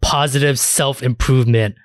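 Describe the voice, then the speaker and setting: A man's voice: medium pitch, fairly even intonation, moderate pace, no echo, clean studio sound. A man co-hosting a podcast, chatting casually about shows in informal, sweary language. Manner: profane, informal slang. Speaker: podcast co-host. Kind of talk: casual anime discussion chat.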